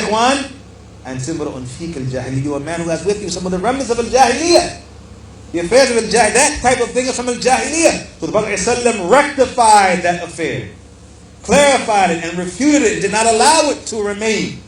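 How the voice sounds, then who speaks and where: A man giving an Islamic religious lecture as a teacher, explaining a text emphatically and repeating key phrases for stress as he addresses his listeners. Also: high voice. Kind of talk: religious lecture, reading aloud from a book and explaining it. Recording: echoey room with faint background noise.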